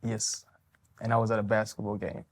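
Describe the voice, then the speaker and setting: A man in his twenties from Tanzania, answering interview questions politely, softly and with loose, hesitant phrasing. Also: fairly low pitch, monotone, brisk. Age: 20s.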